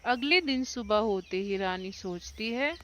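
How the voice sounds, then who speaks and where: A woman's voice: mid-range voice, lively intonation, a little slow, a bit bright, a little hiss or hum. A woman narrating a scripted children's story.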